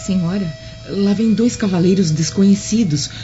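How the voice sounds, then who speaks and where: Female actor as deferential maidservant, radio drama, extremely soft dark timbre, dull recording. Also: mid-range voice, normal speed, natural voice. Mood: alert, deferential. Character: deferential, watchful female servant. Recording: dull lo-fi, music behind.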